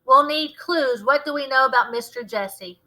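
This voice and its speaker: A woman voicing a bold, bossy, quick-witted girl detective with a confident, emphatic delivery in a children's novel. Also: high-pitched, bright, forceful tone, pitched above the performer's own voice. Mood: commanding. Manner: confident, emphatic and take-charge. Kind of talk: children's novel read-aloud.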